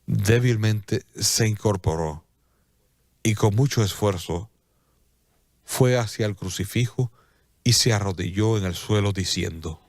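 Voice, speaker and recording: A man narrating a scripted radio drama in a solemn, measured storytelling manner. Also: lowish voice, darkish tone, dry recording. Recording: clear music bed behind.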